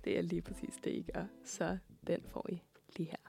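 A woman's voice: on the low side, flat delivery, creaky, dark tone, soft background music. A woman co-hosting and interviewing in a radio studio, chatting informally and playfully with the odd English phrase. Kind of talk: casual live radio chat.

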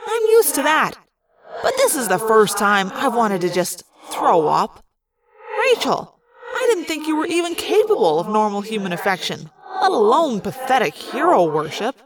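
A woman's voice: on the high side, sing-song, normal speed, background noise. A woman voicing a sarcastic teenage boy in an audiobook, melodramatic, mocking and teasing. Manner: exaggerated, mocking. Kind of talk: audiobook character voice.

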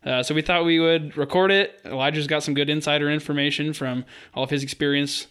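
A man in his twenties chats as a sports podcast co-host, his voice sitting a bit high. His delivery is relaxed and unpolished, full of fillers. He cracks jokes easily. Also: a little fast, clean tone. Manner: laid-back, joking, amateur delivery with many fillers. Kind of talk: casual sports podcast banter.

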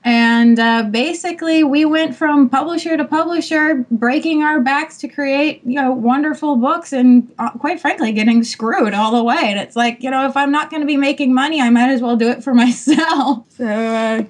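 A woman's voice: high-pitched, moderate pace, few pauses. A woman publisher talking frankly and emphatically on a podcast panel, with many fillers. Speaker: publisher and editor.

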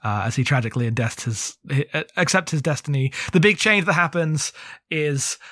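A man co-hosting a podcast, talking through a book casually in a halting, rambling way full of asides. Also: fairly high pitch, lively intonation, fairly bright tone, no echo, clean studio sound. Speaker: podcast co-host. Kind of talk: casual book discussion with plot recap.

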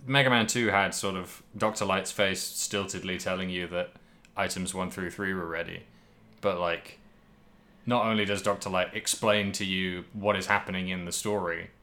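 A man's voice: low-pitched, steady tone, moderate pace, bright, forceful tone. A man co-hosting a casual podcast about video games, talking in a rambling, jokey way. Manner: rambling, jokey, laughs often. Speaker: podcast co-host.

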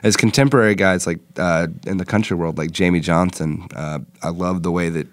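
A man's voice: low voice, a little fast, no room sound. A young man, a rock singer, chatting loosely in an interview with many fillers and restarts. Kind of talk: casual interview chat.

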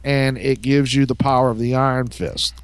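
A man with an average-pitched voice talks as a podcast co-host. He explains things casually and haltingly, doubling back on his words in loose conversational banter. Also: fairly even intonation, clear, resonant tone, dry recording. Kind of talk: casual banter about comics and shows.

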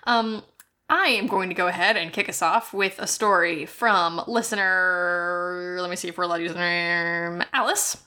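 A young woman co-hosting a podcast in casual, playful chat, quick to laugh and poke fun at herself. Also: on the low side, bright, forceful tone. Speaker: podcast co-host.

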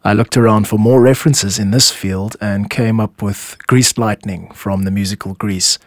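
A man's voice: fairly low pitch, steady tone, normal speed, dry recording, clean studio sound. A man hosting a show as a music composer, giving scripted explanatory narration. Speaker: podcast host and music composer.

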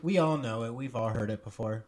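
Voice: medium pitch, fairly quick, darkish tone, slight background noise. A podcast co-host chatting casually and dropping in brief asides.

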